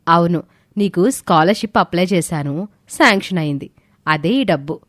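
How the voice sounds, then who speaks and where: A woman's voice: fairly low pitch, animated, normal speed, fairly bright tone, dry recording, clean studio sound. A woman playing a caring, encouraging teacher who explains things patiently and firmly in an audio story. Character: Bharathi, caring, encouraging female teacher and mentor. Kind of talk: scripted audio story dialogue.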